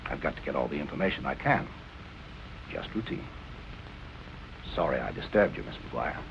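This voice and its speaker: A man playing a coolly polite, probing police captain in 1940s crime film style, questioning in measured tones. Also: a bass voice, huge pitch swings, creaky, heavily acted. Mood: cool, insistent. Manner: measured, courteous, persistent questioning. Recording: noisy old 1940s film soundtrack.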